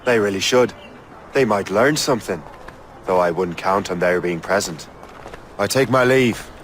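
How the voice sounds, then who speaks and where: An actor playing a guilt-laden, resolute adult assassin turned Templar in dramatic video game cutscenes. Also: male voice, sits low, moderate pace, a bit bright, slight background noise. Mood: wry, guarded.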